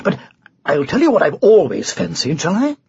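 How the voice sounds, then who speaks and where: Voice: mid-range voice, sing-song, moderate pace, vocal fry, a little mellow, husky, lo-fi sound, pitched above the performer's own voice, heavily acted. A man voicing a puny, boastful but nervous knight with jolly, old-fashioned posh phrasing, in a storybook. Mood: wistful.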